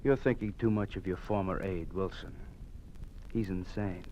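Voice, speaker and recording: An actor playing a cold, menacing regime enforcer in an old-time radio drama, curt, smug and threatening. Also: male voice, low voice, moderate pace, dark tone, dull audio, lightly acted. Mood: cold, insistent. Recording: faintly noisy old radio recording.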